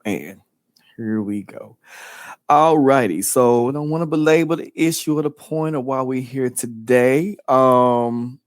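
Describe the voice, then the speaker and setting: A man's voice: mid-range voice, measured pace, smooth, no room sound, studio quality. A man co-hosting a podcast who talks in a playful, chatty way with lots of fillers. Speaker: podcast co-host. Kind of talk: casual podcast chat.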